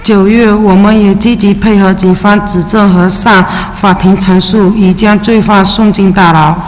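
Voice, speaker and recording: A Chinese immigrant woman, a native Mandarin speaker, reading her testimony at a public hearing in a steady, measured way with brief hesitations. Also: mid-range voice, slight background noise. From China. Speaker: witness testifying at a city council hearing. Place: large public hearing chamber. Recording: echoey hearing room with faint ambience.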